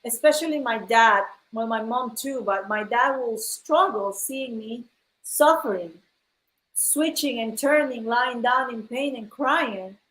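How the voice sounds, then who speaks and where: A woman's voice: a little high, a little slow, a bit bright. Earnest woman from Ecuador, echoey room. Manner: earnest storytelling, addresses viewers as guys. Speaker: host. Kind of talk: casual live-stream chat. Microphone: phone live stream.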